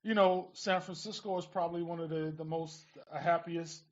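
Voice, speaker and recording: A man hosting casual political talk radio, repeating words haltingly as he forms his thoughts. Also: on the high side, fairly even intonation, dull audio. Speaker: radio show host.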